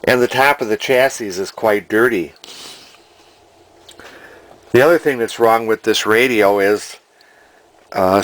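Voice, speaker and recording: Male hobbyist narrator, slightly low pitch, plainspoken and unhurried in manner, unscripted. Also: a bit bright, a little hiss or hum. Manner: deliberate, folksy, plainspoken. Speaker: antique radio collector and restorer. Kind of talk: casual unscripted video narration.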